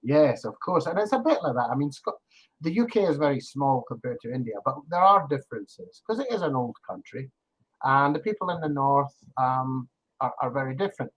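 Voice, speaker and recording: A man's voice: medium pitch, expressive, fairly quick, slightly rough, rough recording. An older man with a Scottish accent, chatting as an online English tutor, explaining with examples and laughing easily. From Scotland. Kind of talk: casual conversation lesson.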